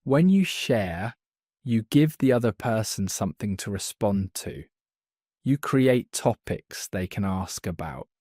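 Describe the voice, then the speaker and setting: A man teaching a beginner English lesson, speaking slowly and clearly so learners can follow. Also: fairly low pitch, expressive, dark tone, dry recording, clean studio sound. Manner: slow, clear, deliberate delivery for learners. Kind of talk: slow scripted lesson narration.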